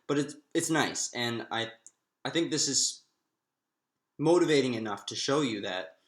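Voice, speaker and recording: A man's voice: medium pitch, fairly bright tone. Man teaching maths in an echoey room. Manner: thinking aloud, halting, often restating himself. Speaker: maths lecturer. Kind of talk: informal step-by-step maths lesson.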